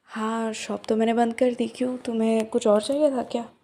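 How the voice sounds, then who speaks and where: A woman in a soft-spoken roleplay, playing a young flower shop owner who teases gently and drops into breathy whispers. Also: fairly high pitch, flat delivery, normal speed. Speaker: voice actress in a listener roleplay. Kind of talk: ASMR roleplay, one side of a conversation.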